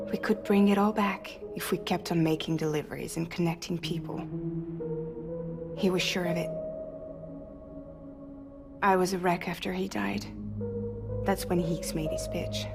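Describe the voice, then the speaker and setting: A slightly low-voiced proud young woman courier, earnest and wounded, in a dramatic game cutscene. Music plays clearly behind her. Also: steady tone, unhurried, pauses often. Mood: wistful, reflective.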